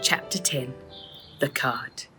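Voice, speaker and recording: A woman author reading her children's storybook aloud as an expressive narrator. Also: slow, over loud music. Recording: faint noise and clicks.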